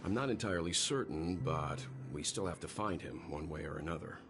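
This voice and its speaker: A man playing a thoughtful, courteous investigator in a video game, speaking in a measured, formal, reflective way. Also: very deep voice, gravelly, noisy background. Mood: pensive. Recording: music behind the voice.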